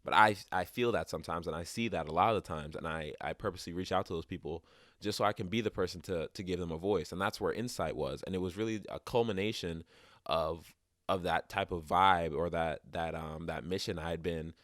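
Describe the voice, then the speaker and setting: Deep-voiced young man, stuffed up. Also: fairly quick, fairly bright tone, no echo, studio quality. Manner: rambling, many restarts and fillers. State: stuffed up, sniffly. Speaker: podcast host. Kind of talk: casual solo podcast talk. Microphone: close podcast mic.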